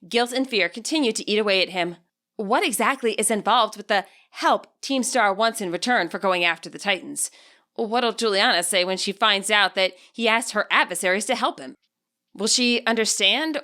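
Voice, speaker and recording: A woman reading expressive scripted narration as the author and narrator of an audiobook. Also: medium pitch.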